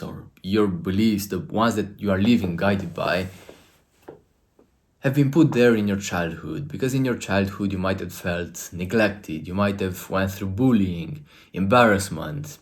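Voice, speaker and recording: A man from Romania with a Romanian accent, giving an earnest, emphatic motivational talk to camera as a coach. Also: deep voice.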